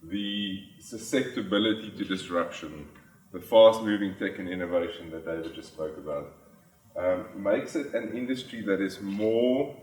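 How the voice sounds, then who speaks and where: A South African man with a lowish voice presents to a conference audience, pausing often on ums and restarting phrases as he explains. His first language is Afrikaans. The sound is roomy and distant, picked up from well off the mic in a large hall. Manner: frequent ums and restarts, plain explanatory delivery. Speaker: conference speaker. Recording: echoey hall, from far off the mic.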